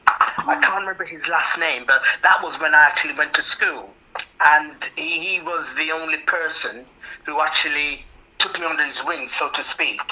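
An older man from Jamaica, recalling his past in an interview with a hesitant manner, often repeating words and chuckling softly. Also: fairly high pitch, normal speed, husky, rough recording. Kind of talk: reminiscing interview.

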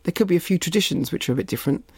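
A woman's voice: on the low side, lively intonation, racing, warm tone, slightly rough, no echo. A middle-aged woman, from Barbados and raised in East London, speaking as an interview guest and telling reflective stories about her childhood. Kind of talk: reflective conversational storytelling.

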